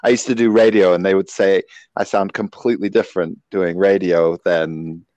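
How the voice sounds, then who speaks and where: A man from New Zealand hosting a podcast, eager and quick to react to his guest. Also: low-pitched, steady tone, darkish tone, no echo, muffled recording. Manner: enthusiastic, interjecting reactions. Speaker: podcast host.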